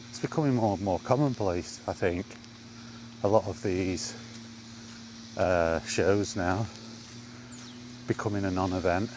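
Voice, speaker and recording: A man with a low voice talks at a slow, unhurried pace in a casual, rambling monologue. He is plain-spoken, like a gaming vlogger out on a walk, with light outdoor noise behind him.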